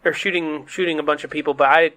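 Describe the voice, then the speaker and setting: A man's voice: on the high side, steady tone, fast, sharp tone. A man co-hosting a podcast, chatting casually and informally, often dropping "like" into his sentences. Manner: informal, peppers talk with "like". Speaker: podcast co-host. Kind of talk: casual chat about games and movies.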